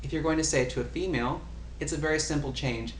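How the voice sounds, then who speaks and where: A man's voice: a little high, quick pace. A man giving a casual language lesson, patiently explaining and repeating example phrases. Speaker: language tutor. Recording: echoey room, faint ambient noise.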